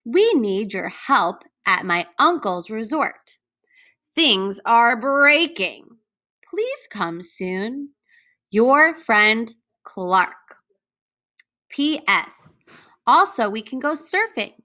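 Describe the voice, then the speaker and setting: Eager, pleading storybook buddy played by a female reader, bright and lifted in pitch, friendly. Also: very animated, extremely slow, exaggerated voice. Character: friendly male storybook character asking a friend for help.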